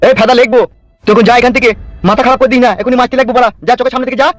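A man's voice: very high-pitched, level tone, very fast. A man voicing an exasperated, crude village politician father in a comedy cartoon, blustering and grumbling. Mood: angry.

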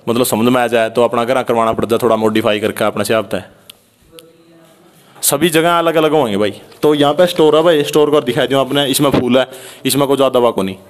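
A young man from Haryana vlogs in a casual, chatty way with a Haryanvi accent. His voice sits at an average male pitch. He speaks into a handheld phone, addressing his viewers like brothers. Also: clear, resonant tone. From Haryana, India. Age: young adult. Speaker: vlogger. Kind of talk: casual vlog commentary. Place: unfinished concrete house, indoors and at doorway.

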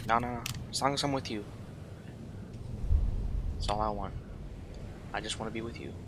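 A man's voice: lowish voice, unhurried. A man streaming a shooter game, chatting and flirting jokingly with strangers, his Spanish broken, over a headset. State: tired, late at night. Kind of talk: casual game chat with strangers. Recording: loud game noise behind.

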